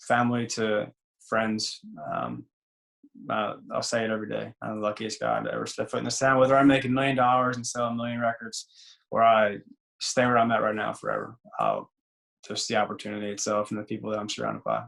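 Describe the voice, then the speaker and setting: A man in his twenties with a raspy voice, a singer and former ballplayer chatting loosely as an interview guest, full of fillers and restarts. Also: medium pitch, fairly bright tone, low-quality recording. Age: 20s. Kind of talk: casual interview chat.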